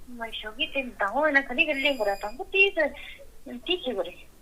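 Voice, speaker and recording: A woman's voice: high-pitched, lively intonation, normal speed, sharp tone. A woman talking informally on a phone call, with some noise on the recording. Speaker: sister receiving a prank call.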